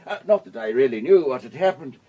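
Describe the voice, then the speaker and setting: A man's voice: medium pitch, lively intonation, fast talker, warm tone, highs cut off. An actor playing a wealthy, urbane society man in a radio drama, breezy, courteous and talkative. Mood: breezy, hospitable.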